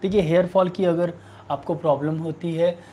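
A man in his thirties with an Indian accent, a doctor answering viewer questions in a halting, repetitive way. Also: a little high, fairly even intonation, slightly rough, highs cut off. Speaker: homeopathic doctor hosting a live online clinic. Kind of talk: answering viewer questions, giving remedy advice. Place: small furnished room indoors.

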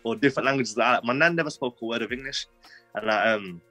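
A man's voice: medium pitch, quick pace, pushed and bright, no room sound, soft background music. A young man in his twenties telling personal stories casually, rambling with lots of fillers and street slang. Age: 20s.

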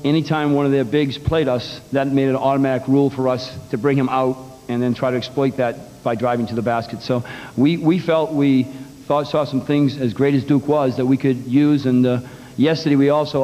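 A man's voice: mid-range voice, dark tone, highs cut off. A middle-aged man, a basketball coach, giving blunt, emphatic answers at a postgame press conference, speaking into a table microphone. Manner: blunt, emphatic, explains plans step by step. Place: press conference room in a large arena. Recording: live TV broadcast with long hall reverb.

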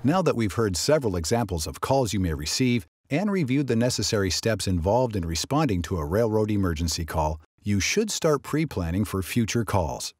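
A man reading scripted narration for a training video in a measured, instructional way. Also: fairly low pitch, big pitch swings, a little mellow, slightly rough, no echo, studio quality.

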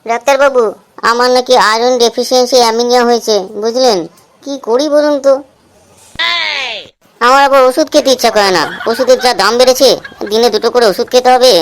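Woman playing a chatty, fast-talking cartoon patient. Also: a little high, fairly even intonation, close to an everyday voice. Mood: complaining. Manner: wordy, complaining, colloquial. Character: chatty, naive, cheeky cartoon clinic patients. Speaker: cartoon voice actor. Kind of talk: comic Bengali cartoon dialogue.

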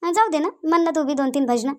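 A fussy older village mother-in-law voiced by a woman keeps a cartoon household moving, with a Vidarbha Marathi accent. Her voice sits very high, and she hurries and welcomes guests in the same breath. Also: quick pace, darkish tone, lightly acted. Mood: welcoming. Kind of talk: cartoon voice acting.

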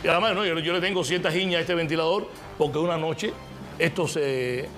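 An older man hosts a studio talk show in a slightly high voice, recorded on a lapel mic. He tells anecdotes in a lively way, restarting his sentences. Music plays clearly behind him. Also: a loud crowd. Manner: lively storytelling, quick restarts. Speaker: host. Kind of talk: casual chat. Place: TV studio set.

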